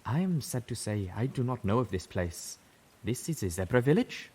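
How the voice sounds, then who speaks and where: A man's voice: mid-range voice, very animated, moderate pace, a little mellow, breathy edge, in a large hall, voice pushed up. A man doing a formal zebra mare.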